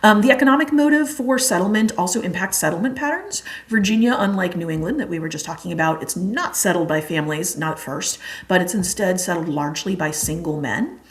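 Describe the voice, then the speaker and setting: A woman's voice: lowish voice, fairly quick, few pauses. A young woman teacher giving a casual exam review lecture, chatty and full of "like" and "um". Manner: chatty, many fillers like "like" and "um". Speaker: history teacher.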